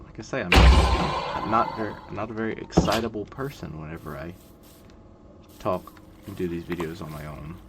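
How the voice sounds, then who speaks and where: A man in his twenties giving calm, monotone, rambling gameplay commentary, full of fillers. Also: on the low side, normal speed, gravelly. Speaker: gaming video creator. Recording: loud background noise.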